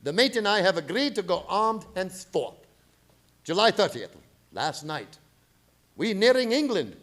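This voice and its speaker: A man playing a grim, fearful foreign ship captain in a radio play, reading his log in clipped, halting broken English. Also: sits high, sing-song, fairly bright tone, exaggerated voice. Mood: grim, terse. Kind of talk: dramatic radio play narration.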